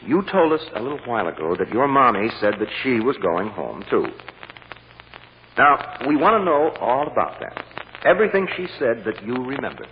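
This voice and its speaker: Male actor as persuasive courtroom lawyer, deliberate and coaxing, expressive pitch, 1940s radio drama, dull hissy sound. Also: close to an everyday voice. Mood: gentle, coaxing. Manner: measured and deliberate, gently coaxing or drily firm. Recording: muffled, hissy old radio recording with hall echo.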